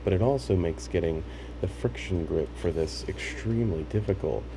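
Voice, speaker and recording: Low-voiced man, halting, noisy recording. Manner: tentative, halting explanations. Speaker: hobbyist demonstrating a tape machine repair. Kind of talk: unscripted casual demonstration to camera.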